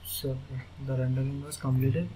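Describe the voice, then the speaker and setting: A man with an Indian English accent walking through a software tutorial, speaking haltingly as he clicks through steps. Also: medium pitch, little pitch movement. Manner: halting, thinking aloud as he clicks. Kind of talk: screen-recorded software tutorial. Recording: noisy screen recording.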